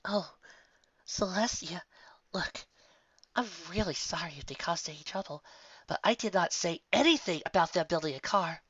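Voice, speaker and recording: Timid spa mare for an audio story, done by a narrator: high, soft, hesitant, apologetic and flustered. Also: normal speed, no room sound, highs cut off, lightly acted. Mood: apologetic, pleading. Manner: hesitant, soft-spoken, pleading.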